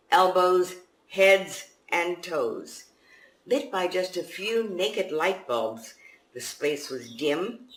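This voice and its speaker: An older woman, an author telling and reading a story aloud with deliberate, dramatic emphasis on key words. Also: fairly low pitch, a bit bright. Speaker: author reading from and presenting own book. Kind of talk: storytelling presentation and read-aloud. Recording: echoey room.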